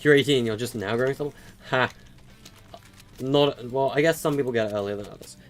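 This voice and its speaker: A man's voice: medium pitch, brisk, faint music behind. An eighteen-year-old man streaming a game live, chatting loosely with viewers, with many fillers and stutters. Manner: rambling, with frequent fillers and stutters. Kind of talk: casual live-stream chat answering viewers.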